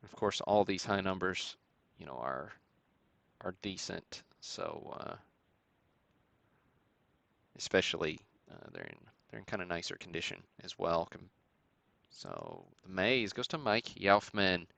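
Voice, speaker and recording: A man hosting a live stream, giving matter-of-fact commentary in a halting way with pauses. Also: sits low, huge pitch swings, creaky, fairly bright tone, dry recording. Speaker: host of a sports card break stream. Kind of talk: live show-and-tell commentary on vintage cards.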